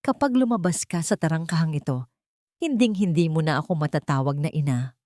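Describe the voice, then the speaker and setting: A woman's voice: low voice, lively intonation, a little fast, dark tone, no room sound, studio quality. A woman playing a stern, proud older mother who scolds angrily in an audio drama. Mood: angry, disappointed.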